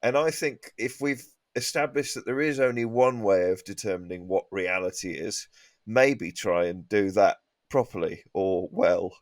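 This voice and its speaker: Earnest low-voiced man, dry close sound. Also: normal speed, dry recording. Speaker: comedian panel guest. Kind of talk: comic opinion in panel chat.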